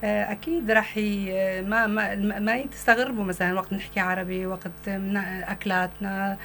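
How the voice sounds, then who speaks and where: A middle-aged woman from Syria with a rural Syrian accent, a guest in a radio interview who talks haltingly and earnestly, searching for words. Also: medium pitch, steady tone, a bit bright, a little hiss or hum. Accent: rural Syrian Arabic.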